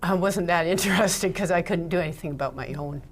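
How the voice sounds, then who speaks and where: Low-voiced chatty Ontario woman. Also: fairly quick, a little hiss or hum. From Ontario, Canada. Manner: chatty, laughs between thoughts. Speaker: guest, Mitzvah Technique teacher. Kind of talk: casual interview talk.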